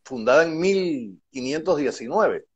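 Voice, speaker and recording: A man's voice: fairly high pitch, animated, unhurried, husky, dull audio. A man hosting a live video interview, agreeable and often chiming in to agree. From Panama. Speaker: host. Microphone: video call.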